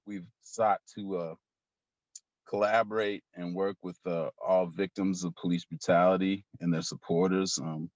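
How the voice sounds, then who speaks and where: Deep-voiced man organizer on a video call. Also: no echo, dull audio. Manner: casual, halting with many ums. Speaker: community organizer, panelist. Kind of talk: online panel discussion.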